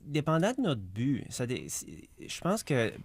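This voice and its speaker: A man's voice: medium pitch, very animated, a little slow, breathy edge. A man answering interview questions as head of an association, hesitant and often repeating words as he searches for them. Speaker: president of a regional association.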